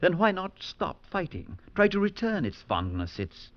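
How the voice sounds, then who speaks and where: An actor playing a calm, grave priest who narrates a ghost story in a measured, low-key way, in a 1940s radio play. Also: male voice, exaggerated voice. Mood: soothing. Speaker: Father Murchison, narrator. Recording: dull lo-fi 1940s radio broadcast.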